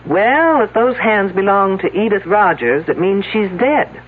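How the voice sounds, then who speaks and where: A woman's voice: medium pitch, lively intonation, unhurried, close to an everyday voice. An actress playing a sardonic, unflappable female detective in a 1970s radio drama, wry and plain-spoken. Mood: brisk, probing. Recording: tinny, noisy old radio broadcast.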